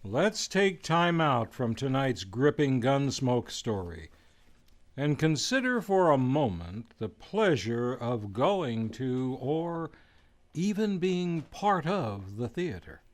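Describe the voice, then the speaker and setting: Slow, animated male radio announcer. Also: mid-range voice. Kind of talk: old-time radio announcing.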